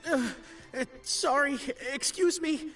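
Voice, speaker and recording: A superstitious young man in an anime game. His voice is high and melodramatic, nervous and pleading, a little distant over game music. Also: slow, sharp tone, full character voice. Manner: dramatic, pleading. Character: panicky, superstitious, melodramatic young male client.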